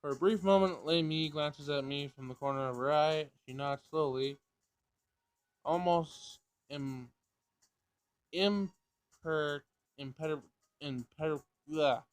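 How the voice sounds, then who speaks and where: Higher-pitched man reading a game aloud. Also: slow pace, long pauses, smooth. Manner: stumbles over words while reading. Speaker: gamer reading a visual novel aloud. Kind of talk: casual read-aloud narration and dialogue.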